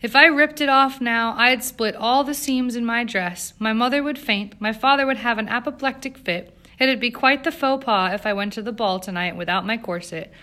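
A woman's voice: mid-range voice, a little fast, hardly any pauses, sharp tone, lightly acted. A woman voicing a privileged young socialite in an audiobook, with an airy, self-assured, impatient manner. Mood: defensive, emphatic. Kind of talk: audiobook character acting.

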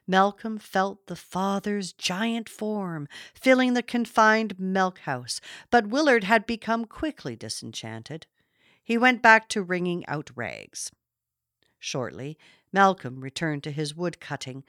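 A woman narrating a literary story from a script in a measured, expressive storytelling manner. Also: medium pitch, no room sound, studio quality. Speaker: audiobook narrator.

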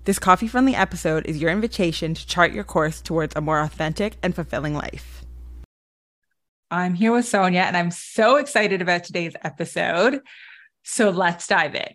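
A woman's voice: on the low side, moderate pace, a bit bright. A woman hosting a podcast, introducing her guest and reacting with upbeat, gushing enthusiasm. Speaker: podcast host.

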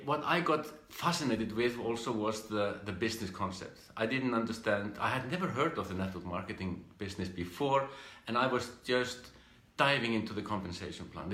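A middle-aged man from Iceland with an Icelandic accent gives an informal live talk about his own past, speaking haltingly with repeated words and restarts. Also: mid-range voice, sharp tone, echoey room. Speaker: speaker giving a business training talk.

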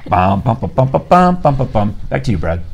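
A man's voice: medium pitch, very animated, brisk, creaky, a little mellow, a little hiss or hum. A man hosting a morning talk show, joking and laughing often as he rambles through asides. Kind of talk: casual studio banter.

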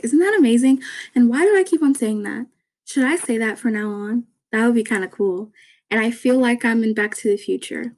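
A young woman hosting a casual podcast, her voice raspy from just waking up, talking in a rambling, chatty way with many fillers. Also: medium pitch, poor audio. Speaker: podcast host.

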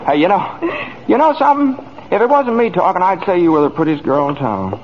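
An eager, high-voiced young dreamer. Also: male voice, expressive, fairly quick. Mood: eager, boastful. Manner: stammering, repeating words. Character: restless eager young small-town man with big dreams. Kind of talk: 1940s radio acting. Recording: muffled, hissy vintage radio recording.

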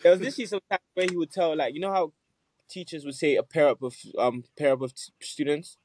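A man's voice: a little high, fairly even intonation, quick pace, a little mellow, dry recording, poor audio. Man from nigeria, music guest, laid-back chat, lots of laughs and yeah, dry sound. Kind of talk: casual interview chat.

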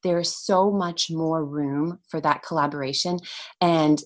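A woman's voice: sits low, fairly even intonation, slow pace. Articulate woman, native Hungarian speaker. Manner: enthusiastic, articulate, explanatory. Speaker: podcast guest, language education trainer. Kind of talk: conversational podcast interview.

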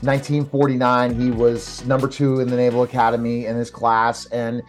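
A man's voice: mid-range voice, completely flat delivery, moderate pace, faint music behind. Man, talk show host, mid-range voice, conversational sports interview, rambling questions.

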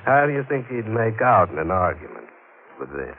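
A deep-voiced American gunman gives orders with curt, sardonic menace. His intonation swings widely as he controls the scene. It sounds like an old radio thriller, muffled and noisy. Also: very animated, normal speed. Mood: taunting, controlled. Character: menacing injured male gunman, coolly controlling intruder.

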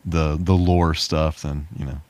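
A man with a low voice talks casually as a podcast co-host. His delivery is easygoing and laid-back, recorded close and dry with very little room sound. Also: little pitch movement, measured pace, darkish tone, no echo. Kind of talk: casual chat about movies.

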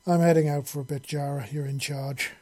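A man's voice: on the high side, steady tone, fairly quick, a little mellow, close to an everyday voice. A man voicing a furious, embarrassed woman scientist in an audiobook story, snarling and swearing. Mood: curt. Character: furious, flustered, embarrassed woman scientist, foul-mouthed mother.

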